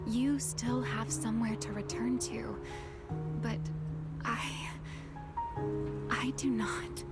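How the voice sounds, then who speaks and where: A woman playing a weary, secretive, century-old but young-looking game character, speaking slowly in a hushed, halting way. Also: fairly high pitch. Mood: fragile, sorrowful. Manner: slow, hushed, breathy, halting delivery. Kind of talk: dramatic game cutscene voice acting. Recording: dramatic music behind.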